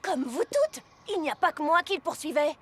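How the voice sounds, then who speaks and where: A woman voicing an earnest, expressive young pony princess who is unsure of herself, in a French cartoon dub. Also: high-pitched, brisk. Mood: indignant.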